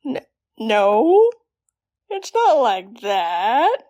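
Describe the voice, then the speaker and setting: A woman's voice: on the high side, very animated, very slow, mellow, heavily acted. A woman voicing a shy, flustered young female pony in a cartoon comic dub, hesitant and stammering. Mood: flustered, defensive.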